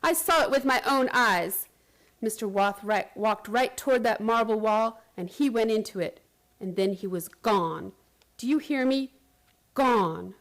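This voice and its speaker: Actress as anxious caring woman, high voice, earnest and hesitant, heightened emotion, radio drama. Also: unhurried. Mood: bitter, desperate. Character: anxious, caring young woman recounting a strange event. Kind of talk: radio play acting and narration.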